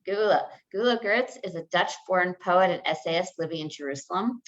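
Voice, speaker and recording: Halting woman hosting a video call. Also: on the low side. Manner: warm, slightly scattered, halting. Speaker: host. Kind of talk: moderating an online author event. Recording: dull lo-fi video call audio.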